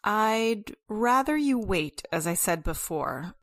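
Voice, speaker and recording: An actress playing a serious, guarded doctor in an old-time radio horror play, measured and a little hesitant. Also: female voice, mid-range voice, animated.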